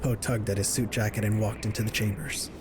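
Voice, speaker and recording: A man's voice: lowish voice, fairly even intonation, brisk, noisy background. A man reading scripted narration for an audio drama.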